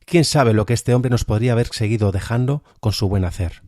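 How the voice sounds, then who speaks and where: A man's voice: medium pitch, brisk, noisy background. A man presenting a podcast review of audio fiction in an informal, emphatic way. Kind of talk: review narration.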